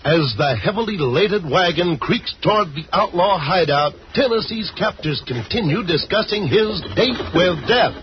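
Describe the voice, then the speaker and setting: A man's voice: high voice, lively intonation, moderate pace, few pauses. An American man announcing in old-time radio style, narrating a serial and reading advertising copy. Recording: muffled, noisy vintage radio recording.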